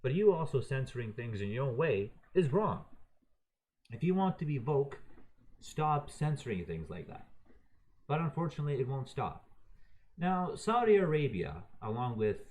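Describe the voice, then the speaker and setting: A man's voice: medium pitch, very animated, moderate pace, darkish tone. A man raised in Croatia giving an unscripted, heated and profane opinion rant, talking to his viewers in an exasperated way. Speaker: video ranter.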